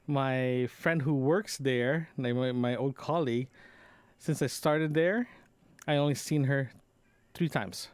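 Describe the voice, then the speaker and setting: A man's voice: mid-range voice, slow, clean tone, dry recording. A man recording a casual audio diary, talking in a rambling, conversational way and often correcting himself as he goes. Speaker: podcast host.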